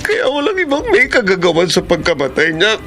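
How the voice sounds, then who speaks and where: A man's voice: high voice, wildly swooping pitch, a little fast, sharp tone, soft background music, exaggerated voice. A man playing a boss who wakes from a drunken night and confesses in anguish, stammering as he speaks, in a classic radio drama. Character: male boss, drunk and now guilt-stricken.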